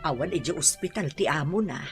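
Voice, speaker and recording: A woman's voice: low-pitched, animated, a little fast, music in the background. An actress playing an anxious wife worried about a missing girl in an Ilocano radio drama.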